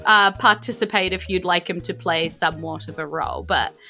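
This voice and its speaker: A woman with a New Zealand accent, hosting and narrating a tabletop game podcast in a playful, expressive, enthusiastic way. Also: lowish voice, fairly quick, gravelly, faint music behind. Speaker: game master and podcast host.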